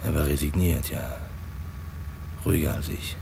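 An actor playing a tormented man who mutters a halting inner monologue in a vintage radio crime play. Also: very deep voice, steady tone, vocal fry, full character voice. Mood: brooding, resigned. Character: brooding, tormented man agonizing over reporting a murderer. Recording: old radio recording, car noise behind.

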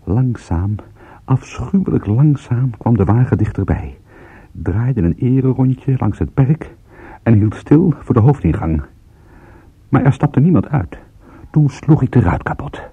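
An actor playing a wry private detective in a radio play, speaking and narrating in a dry, understated way. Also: male voice, mid-range voice, lively intonation, unhurried, dark tone, breathy edge, exaggerated voice. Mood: grave, suspenseful narrating. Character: wry male private detective, calm under mortal danger. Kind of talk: radio play acting with first-person narration. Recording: muffled, phone-like radio drama recording.

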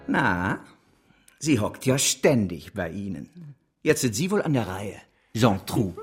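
An actor playing an embattled, scornful banker in a German radio drama, with a biting, contemptuous manner and a husky voice. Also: male voice, fairly low pitch, big pitch swings, slow pace, soft background music, heavily acted. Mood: sarcastic.

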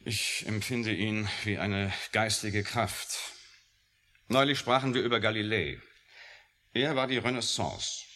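A deep-voiced, brooding, intense scientist. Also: male voice, a little slow, sharp tone. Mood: pensive, brooding. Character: intense, brooding, defiant scientist, grown man. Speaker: radio drama actor. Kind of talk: 1980 science fiction radio play acting. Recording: faintly hissy old radio drama recording.

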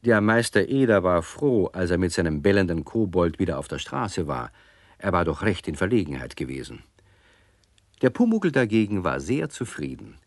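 A man narrating a children's story in a 1970s German radio play as a calm storyteller. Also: low-pitched, moderate pace, no room sound, studio quality, exaggerated voice.

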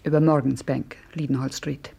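Low, husky, guarded young woman. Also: little pitch movement, moderate pace, dark tone, exaggerated voice. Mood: guarded, curt. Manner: terse, reserved. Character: guarded, evasive young woman of twenty-four. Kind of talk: 1950s radio drama acting.

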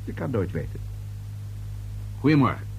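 An actor playing a courteous, shrewd police inspector in a radio play, with a polite, understated, probing manner. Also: male voice, medium pitch, big pitch swings, moderate pace, vocal fry, phone-quality sound. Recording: noisy old radio drama recording.

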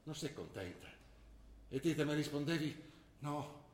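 A man's voice: fairly high pitch, expressive, unhurried, slight background noise. An older man playing an ill, worried father who lectures and pleads with his daughter, in an earnest manner. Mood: tender. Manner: earnest, lecturing, rising to anguished pleas. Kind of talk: stage drama acting. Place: theatre stage. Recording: roomy stage recording.

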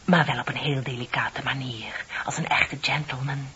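An actress in a radio play voicing a middle-aged single woman, gushing, dramatic and romantically deluded, confiding in breathy swells. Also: rumbling low, fairly even intonation, normal speed, bright, forceful tone, exaggerated voice. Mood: dreamy, gushing. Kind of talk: Dutch radio play acting. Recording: dull, lo-fi radio play recording.

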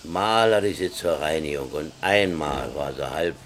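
An actor playing a stubborn, grumbling old village man in a radio drama, gruff, terse and contrary. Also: very deep voice, animated, normal speed, a bit bright, a little hiss or hum. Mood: reminiscing. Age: older. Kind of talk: naturalistic radio drama acting.

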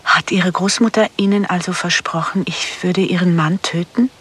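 A woman's voice: fairly low pitch, fairly even intonation, moderate pace, fairly bright tone, slightly rough. An actress playing a cool, wary female contract killer in a radio crime drama, speaking in a dry, terse way. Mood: wary, probing. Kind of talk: German radio play acting.

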